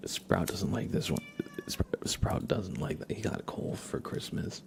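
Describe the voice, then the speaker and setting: A soft-spoken man hosting an ASMR livestream, chatting casually and often dropping into a whisper. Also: low-pitched, wildly swooping pitch, a little slow, gravelly, faint music behind. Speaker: livestream host.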